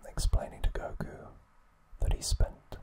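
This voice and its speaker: A man narrating trivia as an ASMR host in a breathy, unvoiced whisper, soft and deliberate.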